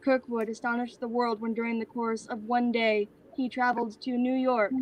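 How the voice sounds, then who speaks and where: Casting: actress as dutiful assistant, monotone formal recital, higher register, comic stage reading, slight background noise. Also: female voice, moderate pace, dull audio, natural voice. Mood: dutiful reciting. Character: Soren, an obedient assistant reciting an employer's biography. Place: remote virtual reading. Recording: noisy online video call audio.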